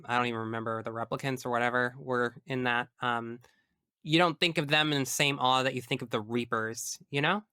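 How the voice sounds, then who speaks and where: A man with a bright, crisp voice talks casually as a podcast guest. He is opinionated and emphatic and swears freely. The recording is dry and close. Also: mid-range voice, dry recording. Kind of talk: casual chat about video games.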